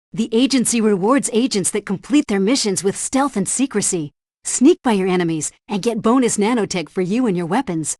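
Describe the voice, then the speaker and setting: A woman's voice: mid-range voice, a little mellow, dry recording, studio quality, natural voice. A woman voicing a helpful female helpdesk guide in a video game, giving scripted tutorial instructions and mission briefings with precise diction.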